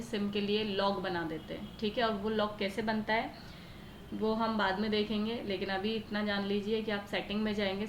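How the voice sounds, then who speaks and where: An Indian woman teacher explaining a lesson step by step, often asking questions and answering them herself. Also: medium pitch. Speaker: computer teacher. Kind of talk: explanatory lesson in Hindi mixed with English terms. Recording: echoey room with faint background noise.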